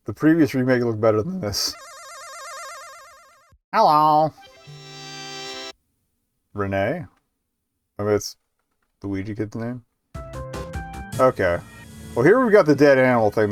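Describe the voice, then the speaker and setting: A man giving casual live game commentary as a streamer, rambling and hesitant, with muttered asides. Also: medium pitch.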